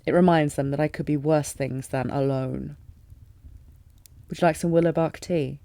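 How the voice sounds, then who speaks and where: A woman playing a lovesick warrior queen, regal yet fragile, in an intimate fantasy audio drama with formal, emotive, halting delivery. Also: low-pitched, steady tone, mellow, dry recording. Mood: stern, controlled. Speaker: audio roleplay performer.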